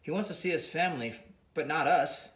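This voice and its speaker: A thick-accented, suspicious jungle businessman, as a man reads him out, urgent and pitched up. He guards his living. The storybook reading is heard in an echoey room. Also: fairly quick, a bit bright, lightly acted.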